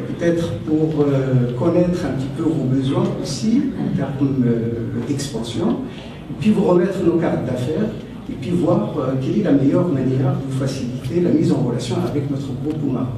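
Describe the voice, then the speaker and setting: A man speaking for his bank at a conference, in a measured, hesitant way with many fillers. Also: fairly high pitch, animated, background noise. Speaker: bank representative. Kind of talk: conference presentation. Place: large conference hall. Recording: echoey hall, distant mic.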